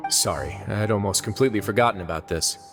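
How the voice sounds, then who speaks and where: A man voicing a calm, wry male duke who runs a prison, speaking in a smooth, measured and courteous way in a video game. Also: on the low side, close to an everyday voice. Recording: game audio with music behind.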